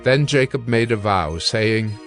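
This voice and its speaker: A man narrating scripture from a script in a measured, formal way. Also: on the low side, animated, soft background music.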